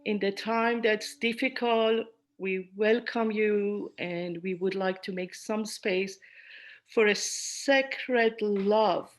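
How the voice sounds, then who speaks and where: A woman giving a host's welcome and reading poetry in measured phrases with pauses between them. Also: medium pitch, steady tone. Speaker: event host, school founder and director.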